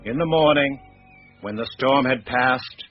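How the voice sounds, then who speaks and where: An actor playing a grave heir recounting his family's cursed heirloom tale in measured, literary radio-drama storytelling. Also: medium pitch, lightly acted. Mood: measured, suspenseful. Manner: measured, literary, deliberate delivery. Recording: muffled radio drama with music underneath.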